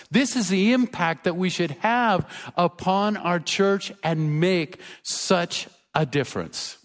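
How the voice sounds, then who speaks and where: Older male pastor, fairly high voice, earnest sermon delivery in a reverberant church. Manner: earnest preaching, rising emphasis, with soft storytelling asides.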